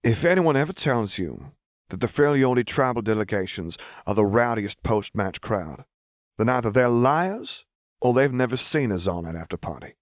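A man's voice: medium pitch, animated, moderate pace, dry recording, pitched above the performer's own voice, close to an everyday voice. A man voicing a world-weary woman bartender in an audiobook, with a dry, grumbling manner. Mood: wry, resigned.

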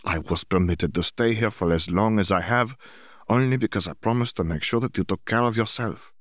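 Man voicing calm, gently firm young woman. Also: on the low side, no echo, clean studio sound, natural voice. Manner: measured, formal, reassuring. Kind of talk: audiobook character voice.

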